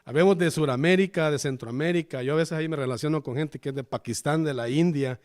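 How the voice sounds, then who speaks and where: A man's voice: on the high side, fast talker, darkish tone. A man from El Salvador with a Salvadoran Spanish accent, preaching a chatty sermon in a church and often addressing the listeners as brothers. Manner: chatty, keeps calling the listeners "hermano". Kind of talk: conversational sermon.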